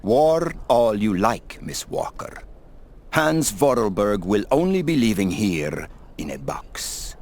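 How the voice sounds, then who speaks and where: An actor voicing a stern, fanatical monastery priest in an adventure game, preachy and imperious. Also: male voice, fairly low pitch, sing-song, slow, a little mellow, heavily acted. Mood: outraged.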